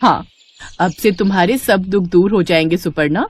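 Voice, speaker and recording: A woman's voice: fairly low pitch, normal speed, husky. A woman voicing a generous young fairy in a bedtime story, kindly and assured.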